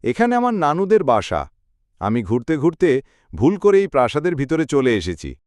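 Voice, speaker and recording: A young man voicing a curious young city man in a cartoon who wanders and marvels out loud. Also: a little high, wildly swooping pitch, normal speed, dry recording, clean studio sound.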